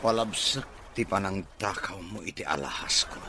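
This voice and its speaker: A man's voice: low-pitched, lively intonation, normal speed, gravelly, background noise. A man with an Ilocano accent playing a hurt, accusing wealthy young man in an audio drama serial. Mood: angry, accusing.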